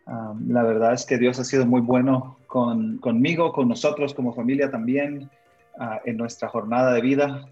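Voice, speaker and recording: A man's voice: mid-range voice, steady tone, moderate pace, a little mellow, soft background music. Man, 30s, raised in Honduras, missionary guest, chatty, lots of "ah" fillers, muffled lo-fi sound. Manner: chatty, many "ah" fillers, laughs easily. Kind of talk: relaxed personal storytelling.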